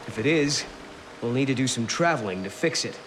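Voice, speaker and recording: An actor playing a cautious, analytical young traveler in a video game, serious and measured in delivery. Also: male voice, mid-range voice, lively intonation, background noise, lightly acted. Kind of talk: video game cutscene acting.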